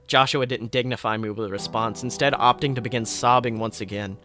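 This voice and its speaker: A man narrating a first-person story in a dramatic, expressive way. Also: medium pitch, brisk, soft background music. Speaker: podcast host reading fan fiction.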